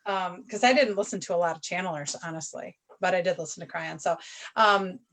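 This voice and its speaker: A woman's voice: lowish voice, fairly quick, a bit bright. A woman co-hosting a video-call chat, talking candidly and rambling, trailing off and restarting her sentences. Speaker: co-host. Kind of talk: casual chat.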